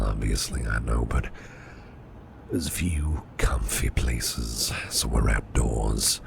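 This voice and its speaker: Man playing very deep, gravelly, caring boss. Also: exaggerated voice. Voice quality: very deep, gravelly, breathy half-whisper. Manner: soothing, unhurried, repeats reassurances softly. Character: caring, reassuring businessman boss, gently flirtatious. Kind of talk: ASMR roleplay, personal attention. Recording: clearly audible background noise.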